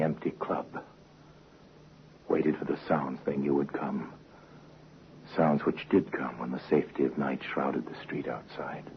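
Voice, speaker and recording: A man narrating a crime story in a grave, deliberate, suspenseful manner, as host of a vintage radio show. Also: a bass voice. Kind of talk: scripted dramatic narration. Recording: hissy muffled vintage radio recording.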